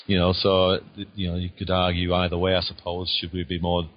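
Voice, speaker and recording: A man's voice: low voice, completely flat delivery, brisk. A middle-aged man with a Lancashire accent, a guest author speaking in a hesitant, musing way and hedging with "I suppose". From England. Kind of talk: casual interview chat. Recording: dull lo-fi sound.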